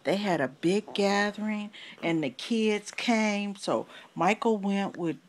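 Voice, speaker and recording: A middle-aged woman, a grandmother improvising a children's story with long pauses and coaxing questions. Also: medium pitch. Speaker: grandmother telling a bedtime story.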